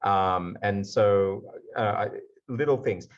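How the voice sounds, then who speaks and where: A man with an Australian accent giving a lively conference talk over a video call. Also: lowish voice, slow, dull audio. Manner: lively explainer, slipping into put-on accents for examples. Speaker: guest speaker.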